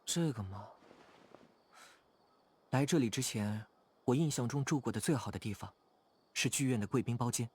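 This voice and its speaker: Man voicing a frank, easygoing young drifter, mid-pitched. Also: normal speed, pauses often, a little mellow, breathy edge, lightly acted. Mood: relaxed, appreciative. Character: hardy, easygoing young idealist drifter from snowfields. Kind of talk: video game character dialogue.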